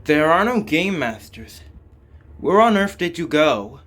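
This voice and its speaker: A man's voice: a little high, very animated, measured pace, fairly bright tone. A man reading translated game dialogue and narration aloud as a scripted playthrough. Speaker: reader voicing a game playthrough.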